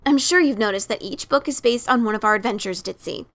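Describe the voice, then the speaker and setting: A woman playing an adventurous pegasus pony author in a fan dramatic reading, emphatic and defensive, halting when awkward. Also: on the high side, a bit bright. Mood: uneasy, explaining.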